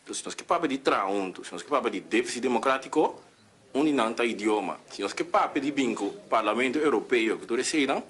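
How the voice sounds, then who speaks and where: A parliament member speaking in a debate, halting and repeating himself as he asks his question. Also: mid-range voice, animated, fairly bright tone.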